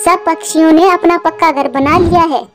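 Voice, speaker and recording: A woman's voice: extremely high pitch, steady tone, normal speed, fairly bright tone, soft background music. A woman voicing a worried, loving mother bird in a cartoon, pleading and tender. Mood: gentle.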